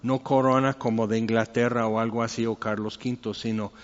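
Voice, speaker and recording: A man's voice: mid-range voice, level tone, a little fast. A man with a Mexican accent giving an informal, conversational sermon as a pastor, rambling with joking asides. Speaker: pastor preaching. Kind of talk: informal expository sermon with anecdotes. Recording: echoey hall, dull muffled sound.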